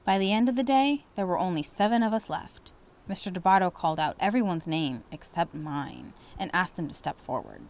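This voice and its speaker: Female narrator for children's picture book, fairly low voice, dramatic delivery with lively swings in pitch. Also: a little fast. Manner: animated, dramatic. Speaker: storybook reader. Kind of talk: expressive children's read-aloud.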